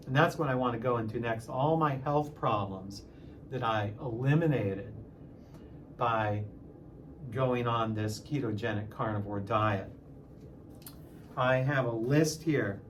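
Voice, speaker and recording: A man of sixty talking casually in a personal vlog, halting at times and repeating phrases for emphasis. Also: medium pitch, a little hiss or hum. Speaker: music teacher and channel host.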